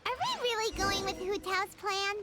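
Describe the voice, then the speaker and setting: A woman voicing a tiny, childlike, excitable fairy companion in a video game. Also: normal speed, noisy background. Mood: questioning. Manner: squeaky, refers to self in third person. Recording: prominent game music behind voice.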